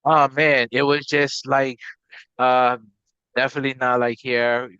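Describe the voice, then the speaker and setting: A man's voice: medium pitch, level tone, unhurried, pushed and bright, clear, resonant tone, no echo. A man from Guyana who later lived in Maryland, explaining things casually as an interview guest. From Guyana, later Maryland, USA. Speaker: interview guest, company CEO. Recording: slightly dull, lo-fi audio.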